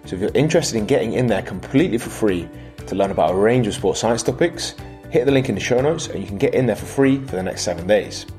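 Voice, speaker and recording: A man's voice: fairly low pitch, animated, quick pace, darkish tone, soft background music. A man hosting a podcast, delivering an upbeat scripted outro and promotion. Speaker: podcast host.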